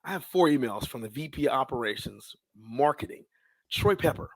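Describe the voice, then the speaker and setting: An American man in his thirties tells stories as a podcast guest. His pitch sits in the middle and the sound is dry, with no room on it. He talks casually and in slang, voicing his own past thoughts and quick exchanges as he goes. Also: expressive, dry recording, poor audio. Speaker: podcast guest, sports public address announcer. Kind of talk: casual storytelling in an interview.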